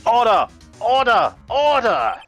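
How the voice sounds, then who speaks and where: A man's voice: very high voice, huge pitch swings, takes it slowly, fairly bright tone, faint music behind, heavily acted. A man voicing a fussy elderly judge in a live fan dub of a game, with a theatrical, swooping delivery. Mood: stern. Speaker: fan voice actor.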